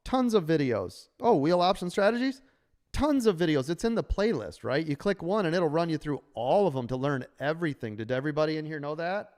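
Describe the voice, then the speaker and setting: A man's voice: animated, brisk, darkish tone. A man coaching stock traders on a live stream, upbeat and salesy while losing his voice, talking from a sunny room full of windows. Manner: upbeat, sales-pitch delivery. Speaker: trading coach and host. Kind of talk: live stream chat with members.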